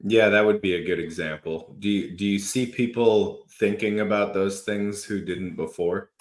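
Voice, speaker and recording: A podcast host with a very deep voice asks his guest questions, searching for words as he goes. His phrasing is loose and casual. The sound carries a clear echo from the room around him. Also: steady tone, normal speed. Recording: echoey room.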